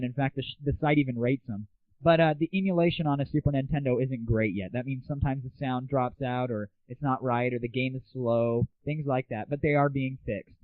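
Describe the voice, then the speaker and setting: A man's voice: mid-range voice, no room sound. Phone-like recording of an irreverent man chatting. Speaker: host of an internet audio show. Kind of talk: casual solo rant and chat. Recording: muffled phone-like lo-fi 1990s internet audio.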